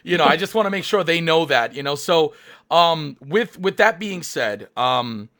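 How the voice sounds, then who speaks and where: Bright, hyped male streamer. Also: on the high side, moderate pace. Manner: energetic, hyped, lots of fillers and restarts. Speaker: streamer and interviewer. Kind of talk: live stream interview.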